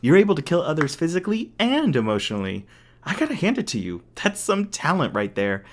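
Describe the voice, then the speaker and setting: A man's voice: a little high, wildly swooping pitch, a little fast. A man playing a smug, sadistic corporate villain in an audio roleplay, taunting with sarcastic, mock-polite manners. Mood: sarcastic praise. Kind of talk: ASMR audio roleplay acting.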